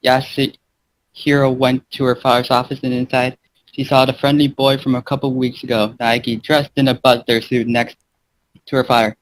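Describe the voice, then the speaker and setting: A man's voice: medium pitch, poor audio. A man reading a fan fiction aloud as an amateur narrator, now and then stumbling over words. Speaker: fan fiction reader and gaming channel host.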